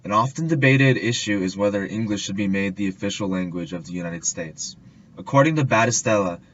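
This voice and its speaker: Male student narrator, low voice, reads a scripted report, slightly dull audio. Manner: reading a school report aloud. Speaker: student presenter.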